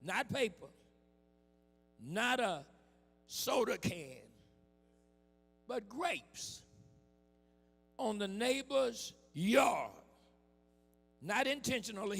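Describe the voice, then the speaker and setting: Hoarse, high-voiced preacher in a big hall. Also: male voice, animated, takes it slowly, pauses often. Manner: preaching with call-and-response and shouted emphasis. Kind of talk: impassioned sermon. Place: church hall with music behind.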